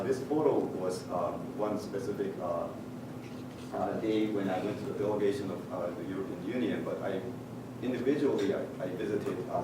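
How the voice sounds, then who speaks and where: A middle-aged Japanese man speaking English with a Japanese accent, giving a measured technical conference talk with frequent "uh" pauses and a touch of dry humor. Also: lowish voice. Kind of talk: presentation talk with slides. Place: large conference hall. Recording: echoey hall picked up from far off with noise.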